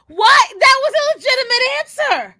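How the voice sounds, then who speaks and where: A woman acts out a brash young witch for a live stream. The voice is high and bright, swinging widely in pitch. She is sassy and combative, quick to swear and take offence. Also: animated, full character voice. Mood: indignant. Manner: sassy, foul-mouthed, combative. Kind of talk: improvised in-character live stream banter.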